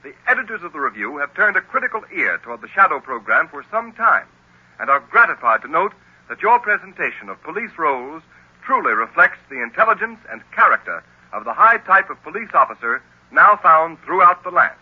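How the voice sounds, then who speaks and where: A man's voice: on the high side, big pitch swings, a little fast, husky. A man with an American accent reading as a formal, emphatic 1930s radio announcer. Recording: muffled, grainy old radio broadcast.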